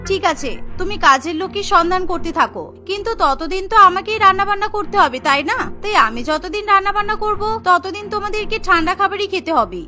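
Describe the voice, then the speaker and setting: A woman's voice: very high-pitched, expressive, fast, hardly any pauses, pushed and bright, heavily acted. A woman voicing a stubborn, defensive young housewife who makes excuses, in a cartoon. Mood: defiant. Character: young village-born wife, stubborn, excuse-making daughter-in-law. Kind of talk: Bengali cartoon voice acting. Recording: music behind the voice.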